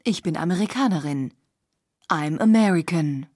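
A woman reading phrases for a language course, slowly and carefully, recorded close to the mic. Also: low voice, expressive, a little mellow, no echo. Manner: slow, careful, clearly articulated phrases.

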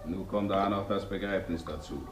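A man's voice: deep voice, steady tone, normal speed, distant mic, over loud music, natural voice. An actor playing a rustic village craftsman gossiping in a German radio drama, rambling and folksy in Silesian dialect. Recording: noisy, echoey room, off mic.

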